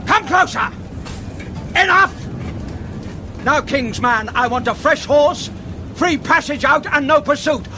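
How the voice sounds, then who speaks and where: Sneering medieval outlaw chief, radio drama, high gravelly shouts, menacing, slow threats. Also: male voice, noisy background, heavily acted. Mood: threatening. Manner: menacing, bellowing, mocking. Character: ruthless, sneering outlaw baron holding a hostage. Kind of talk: broadcast radio drama acting.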